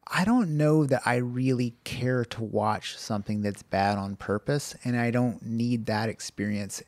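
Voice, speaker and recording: A man's voice: mid-range voice, unhurried, a little mellow, no echo. A man co-hosting a podcast in casual chat, rambling with many "like"s and restarts. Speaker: podcast co-host.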